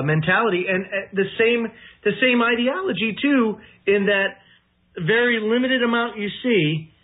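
A man hosts a talk radio interview with a high, light voice, agreeing briskly with his guest. His sound is squeezed through a narrow, phone-like line. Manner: agreeable, brisk, wrapping up with plugs. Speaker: radio host.

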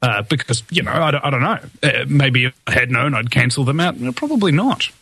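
A man's voice: mid-range voice, animated, brisk, vocal fry, sharp tone, breathy edge, no room sound. A man hosting his own show, ranting defiantly in a solo monologue full of fillers and restarts, with a somewhat whiny voice. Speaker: podcast host.

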